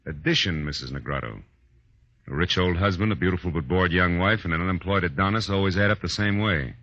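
Hardboiled 40s radio private eye, really low bright punchy voice, wisecracking, old dull recording. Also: male voice, fairly quick, no echo, heavily acted. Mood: sardonic. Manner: sardonic, hard-boiled, clipped. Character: tough, wisecracking male private detective, world-weary and shrewd. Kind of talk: 1940s radio detective acting, first-person narration. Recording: dull, dry vintage 1940s radio recording.

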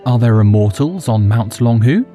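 A calm little Buddhist monk, as a male narrator puts him on, polite and curious. His voice is lifted higher. Soft music plays underneath. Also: normal speed, natural voice. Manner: polite, plain-spoken, curious.

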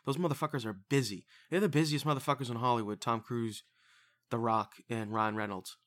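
A man's voice: medium pitch, steady tone, brisk, fairly bright tone. A man in his twenties hosting a casual podcast, loose and profane, rambling through movie news. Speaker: podcast host.

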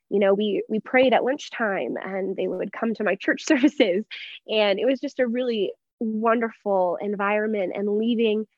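A young American woman from Texas speaking as an interview guest, earnest and expressive as she reflects at length in personal conversation. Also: mid-range voice, no room sound, dull audio. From Texas, United States. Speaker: interview guest, church member.

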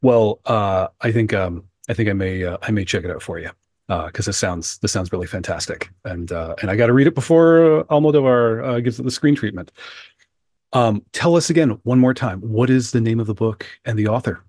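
A man's voice: fairly low pitch, a little fast, a little mellow, no room sound, clean studio sound. A man co-hosting a podcast, interviewing guests in a thoughtful, playful way. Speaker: podcast co-host.

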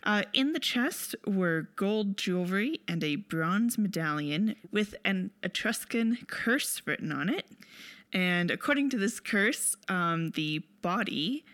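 A woman's voice: mid-range voice, lively intonation, slow, a bit bright, no echo. A woman co-hosting a film podcast in a casual, conversational style, recorded close and dry. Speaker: podcast co-host.

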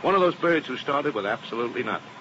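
An actor playing an earnest, uneasy insurance agent in a 1950s radio drama, heard on a muffled, hissy old broadcast. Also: male voice, mid-range voice, expressive, quick pace, lightly acted. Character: worried male insurance agent, earnest partner.

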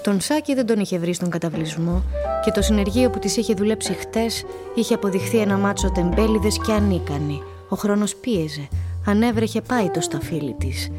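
A woman giving scripted literary narration for a radio drama. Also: mid-range voice. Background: music.

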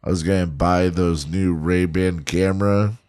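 A man's voice: sits low, fairly even intonation, normal speed, darkish tone, clear, resonant tone. A young man co-hosting a podcast, chatting crudely with blunt, sarcastic jokes. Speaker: podcast co-host. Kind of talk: crude casual banter.

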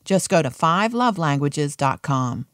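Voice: medium pitch, very animated, a little slow, fairly bright tone, no echo, clean studio sound. An announcer reading a scripted introduction for a radio program.

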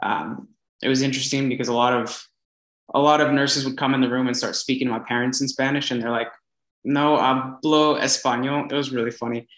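A young man in his twenties, speaking as an interview guest in a relaxed, earnest way, with many fillers and restarts. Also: mid-range voice, fairly quick. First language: English. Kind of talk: casual storytelling interview. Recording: echoey room.

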